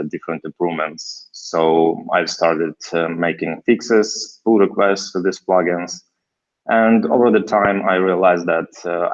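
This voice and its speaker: A very deep-voiced man with a Ukrainian accent speaks haltingly on a video call, pausing often with fillers. The call audio is dull and lo-fi. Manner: hesitant, many fillers and restarts. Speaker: guest developer. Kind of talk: casual video call interview.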